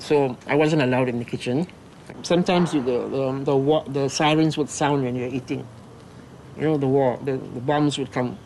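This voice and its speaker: A man's voice: mid-range voice, a little mellow, a little hiss or hum, poor audio. Hesitant elderly man remembering. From Singapore. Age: 70s. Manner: hesitant, with restarts. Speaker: interviewee recalling childhood. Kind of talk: documentary interview.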